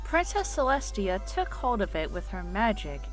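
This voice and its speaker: Woman narrating a story over soft music. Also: mid-range voice, sing-song, moderate pace.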